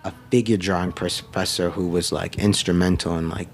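A man's voice: low-pitched, steady tone, brisk, a little hiss or hum. A man, a painter talking reflectively in a casual interview, full of "like" and "um". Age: 30s. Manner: reflective, frequent "like" and "um" fillers. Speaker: painter guest. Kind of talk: casual conversation. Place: indoor studio with mic arms.

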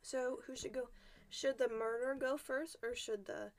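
A woman's voice: high voice, fairly even intonation, measured pace. A young adult woman co-hosting a casual podcast while sick, joking and poking fun at herself. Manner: joking, self-mocking. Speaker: podcast co-host. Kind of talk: casual chat.

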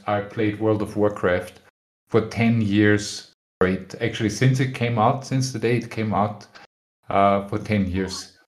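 A young adult man from Austria, talking frankly as a guest on a podcast and often searching for his words. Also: fairly low pitch. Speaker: guest musician. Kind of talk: casual podcast interview.

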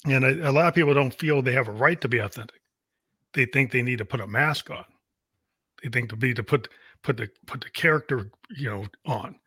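A man's voice: mid-range voice, fairly quick, sharp tone, husky, no room sound, studio quality. A man hosting an interview as a music producer, talking in an earnest, reflective way. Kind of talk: casual interview chat.

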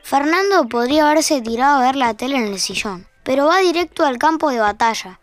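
A woman narrates a scripted audio fiction in a Rioplatense accent. Her voice sits somewhat high. Music plays lightly behind her. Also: lively intonation, brisk. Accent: Rioplatense Argentine.

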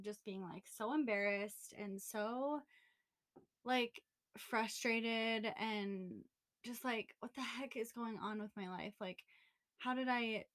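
A woman's voice: mid-range voice, fairly bright tone. A young woman in her twenties co-hosting a casual podcast chat, telling a personal story in a candid, rambling way with many fillers. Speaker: podcast co-host.

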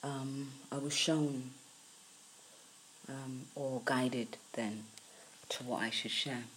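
A woman with a croaky early-morning voice giving a soft, unhurried spiritual podcast talk, with many pauses and fillers. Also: rumbling low, fairly even intonation, slight background noise, poor audio.

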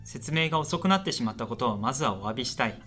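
A man's voice: mid-range voice, expressive, quick pace, bright, forceful tone, faint music behind. A man playing a shy, lonely university student in a radio drama, earnest and chatty in both dialogue and narration.

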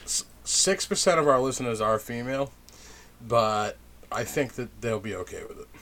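A man's voice: mid-range voice, moderate pace, a bit bright, a little hiss or hum. A man co-hosting a podcast, talking bluntly and casually with lots of swearing. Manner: blunt, profane. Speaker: podcast co-host.

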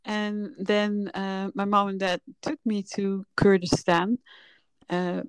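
A woman in her thirties from the Netherlands talks as a podcast guest, candid and reflective with soft laughs. Her voice is smooth and resonant, her pace slow and unhurried, and the sound is dry and close. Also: medium pitch, flat delivery, no echo. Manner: candid, reflective, laughs softly while telling her story. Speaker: filmmaker guest. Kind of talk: casual podcast conversation.

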